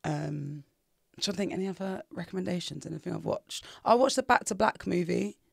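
Gravelly-voiced man, laid-back. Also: sits high, no room sound, clean studio sound. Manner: laid-back, laughs often, quick retorts. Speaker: podcast co-host. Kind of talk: casual chat.

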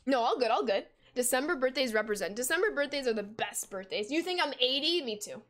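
A young woman in her twenties chats casually on a game stream, her voice sitting a little high. She reads viewer messages aloud between plays and breaks into quick gasps and laughter, heard through a desk mic at home. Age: 20s. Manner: chatty, reads chat aloud, bursts of excited gasps and laughs. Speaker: gaming streamer. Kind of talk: casual live-stream chat while playing.

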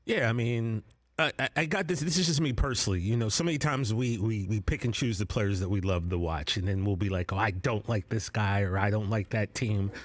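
A man on a sports talk show speaks earnestly and personally, building up a play-by-play recap. His voice sits a bit low and sounds close and dry. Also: a little fast, dry recording. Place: studio set. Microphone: desk broadcast mic.

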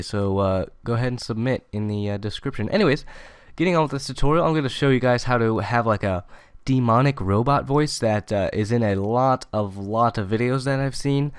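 A young man hosting a casual software tutorial in an informal, conversational way. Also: mid-range voice, smooth, dry recording, clean studio sound. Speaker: tutorial host.

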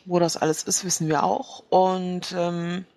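A man's voice: high-pitched, little pitch movement, measured pace, a little mellow, no room sound. A man chatting casually and a bit ramblingly as he plans in a tabletop game. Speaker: player in a tabletop role-playing game. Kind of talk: casual planning talk during a game.

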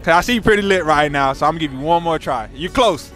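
A man's voice: a little high, lively intonation, fast talker, a bit bright, faint music behind. A man hosting a street trivia game, talking in a playful, slangy way and laughing often. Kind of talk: casual hype street hosting. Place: outdoors on a city street.

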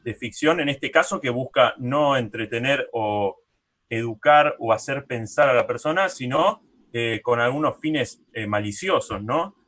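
A man hosts a radio interview in a medium-pitched voice. He talks conversationally, feeling his way through each thought in short, hesitant pieces. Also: measured pace, fairly bright tone.

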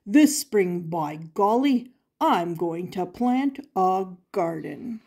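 A woman's voice: fairly low pitch, lively intonation, slow pace, a little mellow, slightly rough, full character voice. An older woman voicing a stubborn, grumpy male gardener from a storybook, declaring his lines emphatically. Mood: determined.

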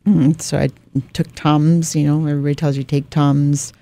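A woman's voice: low voice, little pitch movement, normal speed, gravelly, dark tone, dry recording. A middle-aged woman from Hawaii, a radio executive telling her story on a podcast, often adding "you know" in a small radio studio at a broadcast mic. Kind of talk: casual interview chat. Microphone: studio broadcast mic on boom arm.

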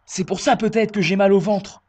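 A man's voice: high-pitched, fairly even intonation, normal speed, a little mellow, lightly acted. A man playing a confused, stubborn elderly customer, hesitant and naive, in a prank phone call. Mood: naive, puzzled. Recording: phone line with noise.

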